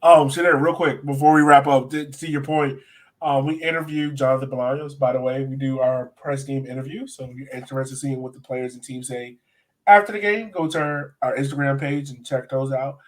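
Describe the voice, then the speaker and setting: A man's voice: mid-range voice, fairly even intonation, fairly quick, rough recording. A man hosting a casual sports podcast, informal and slangy, speaking directly to his co-hosts and viewers. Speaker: podcast host.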